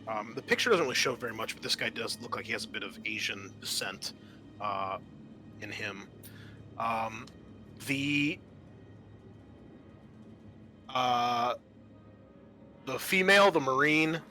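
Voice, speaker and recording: Bright-voiced man running a tabletop game. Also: medium pitch, a little hiss or hum. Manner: halting, searching for words. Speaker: game master. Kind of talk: improvised narration of a tabletop roleplaying game.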